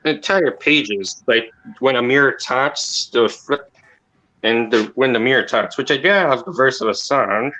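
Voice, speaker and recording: Quiet, rambling man on a call. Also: mid-range voice, rough recording. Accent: non-native or regional accent. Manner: quiet, rambling, halting speech. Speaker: channel host. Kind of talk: casual voice-call discussion.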